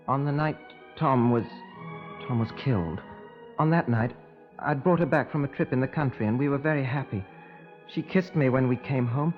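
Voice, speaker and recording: An actor playing a heartbroken young man, haltingly confessing to murder in an emotional 1950s radio drama style. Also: mid-range voice, natural voice. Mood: tender, sorrowful. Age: young adult. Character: heartbroken eighteen-year-old lad confessing to murder. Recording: muffled old radio broadcast recording.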